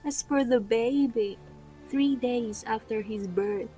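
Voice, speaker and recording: A woman playing a reassuring queen and wife in a readers' theatre Greek tragedy, reciting her lines deliberately. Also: fairly high pitch, dark tone, soft background music. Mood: solemn recounting.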